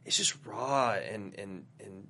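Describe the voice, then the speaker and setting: A man's voice: lowish voice, takes it slowly, sharp tone. A man on a film panel in casual banter, with a joking, self-mocking manner. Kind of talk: casual banter about films.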